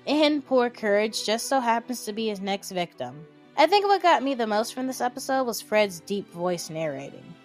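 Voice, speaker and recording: A woman's voice: on the high side, very animated, moderate pace, hardly any pauses. A young adult woman narrating an informal, opinionated video commentary with emphatic asides. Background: background music.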